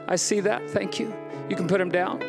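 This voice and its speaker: A middle-aged American man, a pastor preaching emphatically on a church stage into a handheld mic, then leading a soft altar-call prayer. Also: high voice, steady tone, normal speed, creaky, music in the background. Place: large church stage with band.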